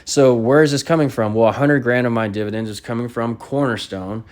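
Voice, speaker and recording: A man's voice: medium pitch, fairly even intonation, fairly quick. A middle-aged man hosting a personal finance video, explaining casually and often checking in with a questioning tag. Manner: repetitive, seeks agreement with frequent "okay?" and "right?". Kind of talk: casual explanatory monologue over screen recording.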